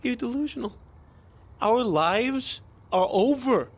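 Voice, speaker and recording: High-pitched, husky, panicky young nerd. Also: male voice, expressive, slow pace, exaggerated voice. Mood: exasperated. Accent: American. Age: young adult. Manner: exasperated, stammering, accusing. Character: panicky, indignant young nerd, reluctant accomplice. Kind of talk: audio drama acting.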